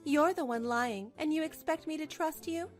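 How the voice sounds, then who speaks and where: A woman acts out a betrayed young wife in a manga drama, her voice very high. She is cold, scornful and righteously angry, biting into each accusation. Soft music sits behind her. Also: lively intonation, moderate pace. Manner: cutting, accusatory. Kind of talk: dubbed manga drama acting.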